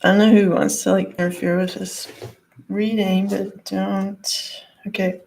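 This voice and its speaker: A woman with a hoarse, gravelly voice talks through a tarot and crystal card reading from her living room. She speaks slowly, with plenty of "like" and "you know," and there is a rough fry under her mid-pitched voice. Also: flat delivery, darkish tone, poor audio. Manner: rambling, lots of "like" and "you know". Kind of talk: casual spiritual card reading.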